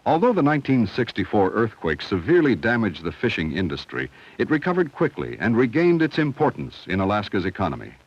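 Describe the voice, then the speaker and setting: A low-voiced man narrates a vintage school documentary with lively rises and falls in his pitch. He speaks in a measured, instructional way with careful enunciation. The sound is the dull, limited tone of an old film soundtrack. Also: husky. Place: studio voice-over.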